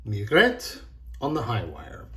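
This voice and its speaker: An encouraging, expressive man teaching a children's drama lesson and narrating a storybook. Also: fairly low pitch, slow.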